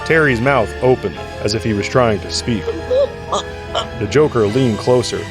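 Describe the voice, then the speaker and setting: A man's voice: medium pitch, normal speed. A man reading scripted dramatic narration for an audio drama. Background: music.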